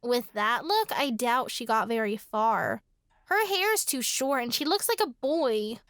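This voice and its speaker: Bratty young girl, high pitch, unhurried, blunt, vain, whiny, radio play, dry studio sound. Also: expressive, fairly bright tone, no room sound. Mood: dismissive. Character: spoiled, vain, fussy little girl. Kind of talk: horror radio drama acting.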